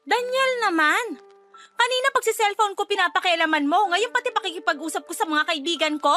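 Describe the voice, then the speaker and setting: A woman's voice: extremely high pitch, brisk, soft background music. A woman playing a resentful, hot-tempered Filipino wife and adoptive mother in a radio drama, exasperated and defensive. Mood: irritated, angry. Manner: exasperated, defensive, sharp-tongued.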